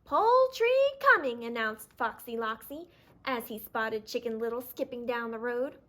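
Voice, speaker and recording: A woman reading a children's story aloud in an animated, theatrical storytelling manner. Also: fairly high pitch, moderate pace. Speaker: storybook reader. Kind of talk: expressive scripted children's read-aloud.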